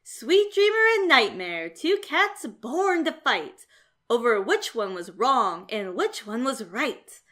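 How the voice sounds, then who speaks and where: A woman reading a rhyming children's storybook aloud as narrator, in a theatrical, expressive and measured way. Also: on the high side.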